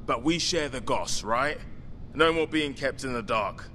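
An actor playing a wisecracking, streetwise British survivor in a zombie video game, flippant and slangy in manner. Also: male voice, medium pitch, lively intonation, a little slow, bright, forceful tone. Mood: wry, insistent. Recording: faint background ambient noise.

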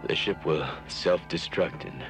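A man playing a dutiful starship officer in a cartoon, speaking gravely. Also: rumbling low, unhurried, music in the background. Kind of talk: 1970s TV cartoon acting.

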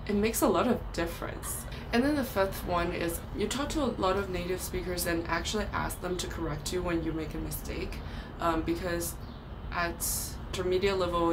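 Young woman with a Chinese accent, lowish voice. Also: background noise. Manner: earnest, explanatory, occasional ums and restarts. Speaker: language vlogger. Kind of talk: casual talking-head vlog. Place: outdoors by a brick wall.

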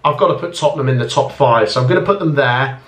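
A man's voice: mid-range voice, quick pace, fairly bright tone. Chatty young Englishman in an echoey room. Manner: chatty, thinking aloud with many ums. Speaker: football YouTuber. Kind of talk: casual ranking commentary. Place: small room at home.

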